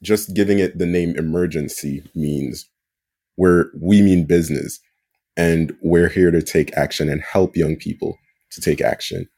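A man with a husky voice, a nonprofit guest explaining things casually in an interview. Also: deep voice, steady tone, a little slow, mellow. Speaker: guest, nonprofit board member.

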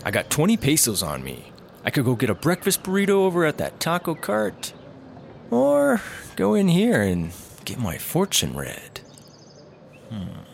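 A man's voice: fairly high pitch, vocal fry, a little hiss or hum, exaggerated voice. A man playing a clueless, cheeky fellow in a comedy audio drama, with a glib, oblivious delivery. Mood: musing, casual. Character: clueless, cheeky man, flippant lovelorn rehab patient.

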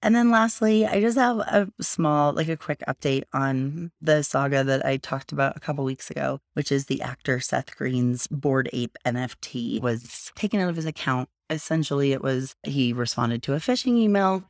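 A sleepy, hoarse woman in her thirties hosting a podcast, rambling in a chatty way and circling back to her point. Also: rumbling low, normal speed, few pauses, no echo, studio quality. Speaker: podcast host. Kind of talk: casual solo podcast talk.

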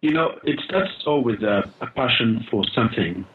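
A man from Uganda, a guest in a podcast interview, telling his story earnestly with pauses and restarts over a call line. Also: medium pitch, animated, a little hiss or hum. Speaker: guest, founder of a charity. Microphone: phone or call line. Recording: muffled lo-fi call audio.

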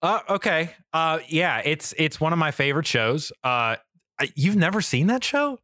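A man's voice: a little high, animated, moderate pace, bright, forceful tone, dry recording, studio quality. A man co-hosting a podcast in a casual, informal chatty style. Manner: informal, frequently swearing. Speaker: podcast co-host.

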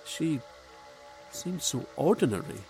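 A man acting a frightened male crew member woken from stasis, halting and disbelieving. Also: fairly high pitch, big pitch swings, creaky, warm tone, faint music behind, full character voice. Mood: anxious. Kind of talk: audio drama acting.

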